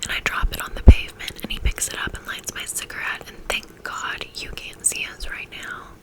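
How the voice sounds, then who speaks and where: A woman reading a first-person novel aloud in a soft, intimate whisper, in a steady ASMR style. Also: normal speed, rough recording. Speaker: audiobook reader.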